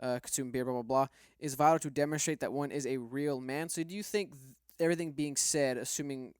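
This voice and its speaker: A man's voice: medium pitch, steady tone, a little fast, a little mellow, clean tone, no echo, clean studio sound. A man debating casually as a podcast host, questioning and arguing his points. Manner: questioning, argumentative.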